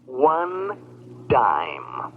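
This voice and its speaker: A man's voice: medium pitch, wildly swooping pitch, drawn-out words, vocal fry, breathy edge, exaggerated voice. An actor playing a bitter, sarcastic man in a vintage radio drama, heard through a telephone filter. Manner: sardonic. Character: bitter, sarcastic man calling from phone booth. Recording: tinny phone line with faint noise.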